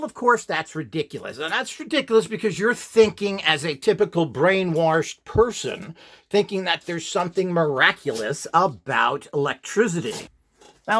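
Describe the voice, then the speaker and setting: A man's voice: fairly high pitch, fairly bright tone. A man giving an informal, rambling instructional talk as host, in an opinionated, dismissive manner.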